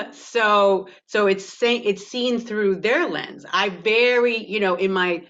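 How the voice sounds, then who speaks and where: Woman, Canadian accent, mid-range voice, candid, animated, quick to laugh, slightly dull lo-fi online audio. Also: mid-range voice. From Toronto, Canada. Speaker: actor and producer, panelist. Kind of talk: candid panel discussion. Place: virtual panel over video call.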